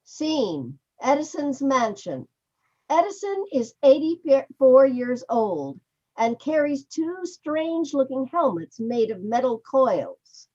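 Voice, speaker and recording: Slow woman reading stage directions, dull audio. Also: sits high, sing-song, mellow. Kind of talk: scripted narration of stage directions. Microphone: video call. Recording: dull video-call audio.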